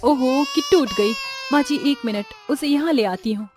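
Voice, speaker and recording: A woman voicing a dutiful, hurried young daughter-in-law in a cartoon family story, obliging in manner. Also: sits high, music in the background, natural voice. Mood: obliging, harried.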